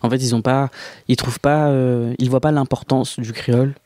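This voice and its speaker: A man in his twenties from Réunion, a podcast guest speaking casually and hesitantly with many pauses. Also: medium pitch, fairly even intonation, mellow, no echo. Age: 20s. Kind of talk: casual conversation.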